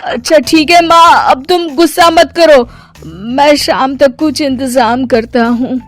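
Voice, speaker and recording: A woman playing a harried husband and son who placates and reassures everyone in an animated moral story. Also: sits high, slow. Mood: placating. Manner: conciliatory, reassuring.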